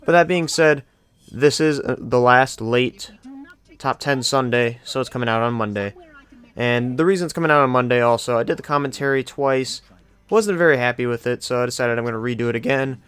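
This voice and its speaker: Clear-voiced, enthusiastic young man. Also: medium pitch, a little fast, a bit bright. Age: young adult. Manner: enthusiastic, effusive. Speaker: video game channel host. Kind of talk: casual countdown commentary.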